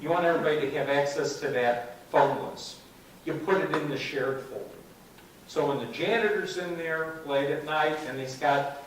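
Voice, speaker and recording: A man's voice: mid-range voice, a little hiss or hum. A man presenting at a computer club meeting, explaining a demo step by step in a chatty way and saying "okay" often. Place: meeting room, heard from a distance. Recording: echoey room, far from the mic.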